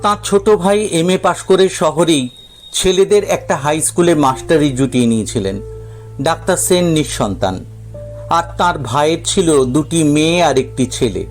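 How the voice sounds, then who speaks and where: A man with a fairly high-pitched voice narrates a scripted audio story. His delivery is that of a dramatic storyteller, and music plays softly behind him. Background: background music.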